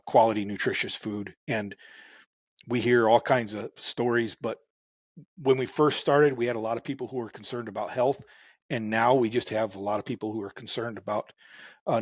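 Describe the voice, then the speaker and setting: A male farmer speaking at an ordinary middle pitch, easy and unhurried, with a lot of "uh" and "um" as he works his way through his thoughts. The recording is dry and close, with no room around the voice. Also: no room sound. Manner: unhurried, many fillers and restarts. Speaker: farmer guest. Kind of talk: casual interview talk.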